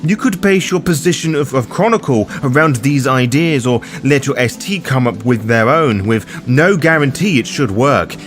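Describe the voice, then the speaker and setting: Lively male explainer with wry asides. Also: medium pitch, normal speed, few pauses, soft background music. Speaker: host of a tabletop roleplaying guide. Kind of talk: scripted narration, explainer.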